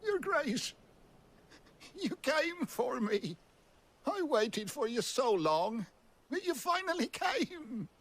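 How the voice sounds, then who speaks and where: A man's voice: extremely high pitch, sing-song, a bit bright, exaggerated voice. A man acting a frail, devoted old servant in a video game, humble and reverent in manner. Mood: moved. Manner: slow, reverent.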